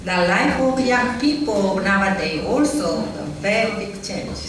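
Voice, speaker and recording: Woman speaking at a gathering, hesitant and slow, picked up far off in a reverberant room. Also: mid-range voice, lively intonation, background noise. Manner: hesitant, halting phrasing with fillers. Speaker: event speaker and moderator. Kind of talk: slow speech in non-native English. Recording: echoey hall, voice from far off.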